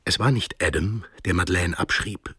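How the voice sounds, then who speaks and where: A man's voice: low-pitched, normal speed, sharp tone, husky, no echo, studio quality. A man narrating a radio drama in a scripted, literary storytelling style. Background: music.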